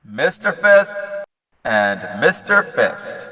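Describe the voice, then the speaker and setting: A man playing an excitable video game race announcer, calling out in emphatic, punchy bursts that swing from flat to shouted. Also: mid-range voice, slow pace, exaggerated voice. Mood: excited, hyped. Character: excitable male demolition derby race announcer. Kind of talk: arena-style race announcer name calls. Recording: dull audio with long hall reverb.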